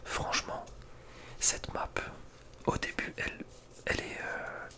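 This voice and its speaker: A man speaking in a breathy whisper, giving informal, slangy ASMR commentary as a gaming video creator. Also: takes it slowly, bright, forceful tone. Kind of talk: whispered ASMR casual commentary ranking video game maps.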